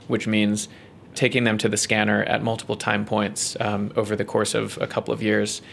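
A man with a New Zealand accent, a research scientist explaining his work in an interview, measured and full of ums and uhs. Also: fairly low pitch, vocal fry, fairly bright tone, a little hiss or hum.

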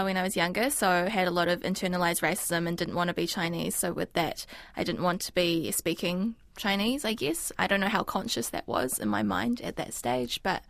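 Kiwi woman in her 20s, grew up speaking mandarin, gravelly fry, lots of ums, chatting in an interview. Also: on the low side, a bit bright, no echo, studio quality. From New Zealand. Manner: thoughtful, frequent ums and likes. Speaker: interview guest. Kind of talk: casual reflective interview talk.

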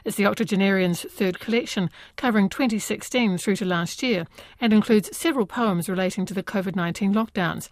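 A woman's voice: mid-range voice, a little fast, no room sound, clean studio sound. New woman, radio interviewer.

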